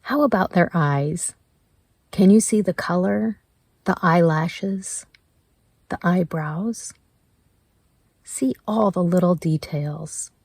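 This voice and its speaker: Woman doing a guided meditation, slow and gentle, lowish voice, really dry close sound. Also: no echo. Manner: gentle, measured, with pauses between prompts.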